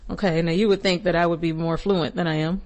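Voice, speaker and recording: A woman's voice: on the low side, steady tone, fast, darkish tone. Chatty young woman podcast host. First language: English. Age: young adult. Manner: chatty and rambling, with frequent asides and laughs. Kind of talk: casual spiritual advice monologue.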